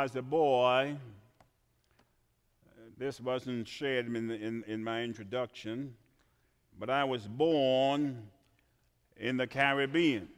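A man from Trinidad preaching a sermon in a church, swinging from quiet asides to shouted emphasis. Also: on the high side, clear, resonant tone. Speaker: pastor. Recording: reverberant church hall.

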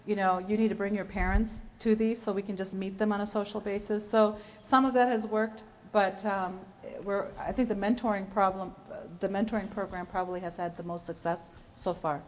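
A woman's voice: medium pitch, steady tone, a little fast, few pauses. Chatty church lady on a panel, upbeat, lo-fi with a little hiss. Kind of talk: panel discussion remarks.

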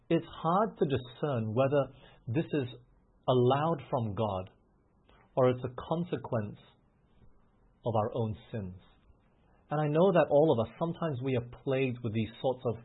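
Male preacher, earnest and emphatic, raspy breathy tone, lo-fi phone-like recording. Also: medium pitch. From grew up in England, Australia and the US. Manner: earnest, rising to emphatic, frequent restarts. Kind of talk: sermon.

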